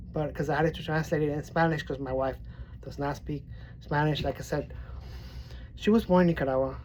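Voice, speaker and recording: A man's voice: fairly high pitch, darkish tone, a little hiss or hum, poor audio. A man from Miami with a mild speech impediment, chatting casually in a poetry vlog with plenty of restarts and fillers. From Miami, Florida. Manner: rambling, with many restarts and fillers. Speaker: poetry vlogger.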